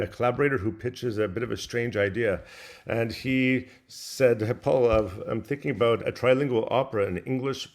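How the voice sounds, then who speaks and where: A man speaking as an interview guest, a composer explaining and recounting in a conversational, anecdotal way. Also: medium pitch.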